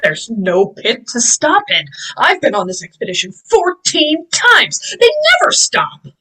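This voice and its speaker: Woman acting male professor, pompous and keen, high voice, lively swooping intonation, emphatic lecturing, sci-fi drama read-through. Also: a little slow, husky, heavily acted, poor audio. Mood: puzzled, insistent.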